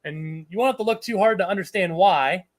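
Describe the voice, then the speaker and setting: A man's voice: sits high, brisk. A man hosting a casual live chat stream, his voice a little scratchy from a lingering cold, rambling and reading out viewers' messages. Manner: chatty, rambling, reads chat aloud. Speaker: livestream host.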